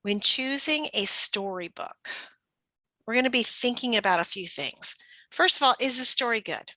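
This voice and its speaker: A woman's voice: a little high, animated, moderate pace, pushed and bright, no echo, low-quality recording. A woman giving an instructional presentation for educators, often posing questions as if to young children. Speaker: teacher trainer presenting a workshop.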